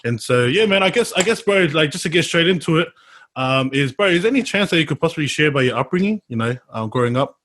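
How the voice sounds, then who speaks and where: Rambling podcast host. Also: male voice, on the high side, animated, fast, a bit bright. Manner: friendly, rambling, says bro and man a lot. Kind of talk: casual interview talk.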